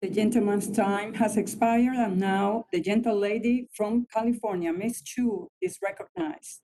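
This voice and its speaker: A woman's voice: medium pitch, steady tone, a little slow, mellow, rough recording. A woman chairing a government hearing with brief, courteous, formal remarks. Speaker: committee chair running the hearing.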